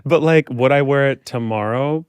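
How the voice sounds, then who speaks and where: A man from the American Midwest, a podcast guest telling personal stories casually with animated, self-mocking asides. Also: mid-range voice, moderate pace, clear, resonant tone, no echo. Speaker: interview guest, performer. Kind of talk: casual chat, personal storytelling.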